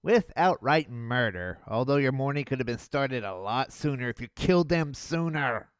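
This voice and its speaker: A young man reviewing an old cartoon in a sarcastic, mocking voice-over with deadpan jabs. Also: medium pitch, normal speed, clean tone, no echo, clean studio sound.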